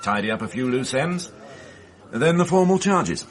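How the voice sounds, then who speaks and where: A man's voice: medium pitch, very animated, normal speed, close to an everyday voice. An actor playing a dry, authoritative male police inspector in a radio drama, questioning in a clipped, probing way. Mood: firm.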